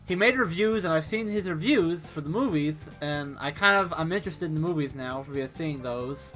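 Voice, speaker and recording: A man on a casual podcast, excitable and prone to swearing and giggling. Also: a little high, normal speed, music in the background. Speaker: co-host.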